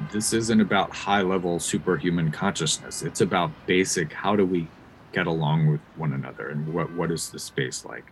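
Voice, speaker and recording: An American man giving measured, thoughtful explanations as a researcher being interviewed. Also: deep voice, a little hiss or hum, rough recording. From United States. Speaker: academic researcher and interview guest.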